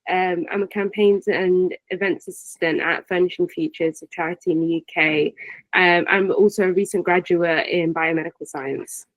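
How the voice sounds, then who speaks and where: Brief: young woman panelist, brief and plain, low pitch. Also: fairly even intonation, moderate pace, no echo, low-quality recording. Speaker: charity assistant, panelist. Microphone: video call.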